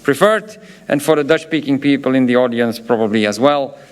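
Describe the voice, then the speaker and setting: A man's voice: medium pitch, fairly quick, clean tone. A man from Belgium with a Flemish accent, a professor giving a graduation ceremony speech, hesitant and full of filler sounds, with chatty asides. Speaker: professor presenting diplomas.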